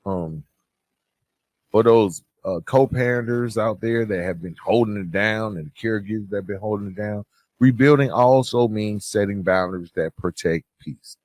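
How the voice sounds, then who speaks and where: Low-voiced man giving a motivational talk. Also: moderate pace, darkish tone, dry recording. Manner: conversational, addresses listeners directly as bro, man, guys. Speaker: podcast host. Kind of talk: motivational podcast monologue.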